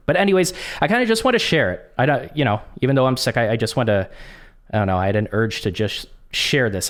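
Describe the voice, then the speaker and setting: A man who is coming down with a cold, talking casually and haltingly to camera, with frequent restarts and ums. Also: lowish voice. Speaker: content creator.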